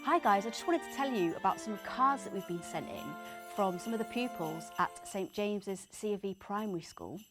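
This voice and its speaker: A woman's voice: medium pitch, moderate pace, a bit bright, faint music behind. A woman presenter talking to children in a chatty, upbeat and enthusiastic way.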